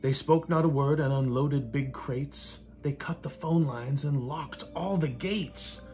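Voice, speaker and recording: A man's voice: medium pitch, a little mellow. Older man reading a rhyming storybook theatrically. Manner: theatrical, measured delivery with playful emphasis. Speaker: reader of a picture book. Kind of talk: rhymed storybook read-aloud. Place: indoors in a home living room. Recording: light room noise.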